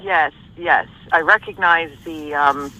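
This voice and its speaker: A woman caller speaks politely and a little hesitantly in a very bright, sharp voice. The recording is a dull, lo-fi phone call. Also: low voice, takes it slowly.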